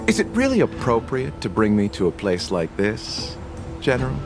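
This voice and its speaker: Game role: enigmatic merchant, suave and ironic, lively intonation, music clearly behind. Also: male voice, medium pitch, moderate pace. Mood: poetic, wry. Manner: polite, theatrical, gently ironic. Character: suave, enigmatic traveling merchant, slyly courteous. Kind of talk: video game voice acting.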